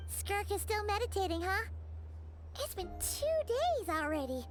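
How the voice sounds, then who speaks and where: A woman voicing a tiny, excitable, childlike fairy companion in an anime game, with a squeaky, chirpy delivery. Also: unhurried, over music, full character voice. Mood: puzzled. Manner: chirpy, speaks of herself in third person.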